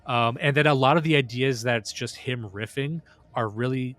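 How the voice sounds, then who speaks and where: A man's voice: mid-range voice, moderate pace, fairly bright tone. A man co-hosting a podcast in casual chat, sarcastic and profane, laughing often. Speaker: podcast co-host.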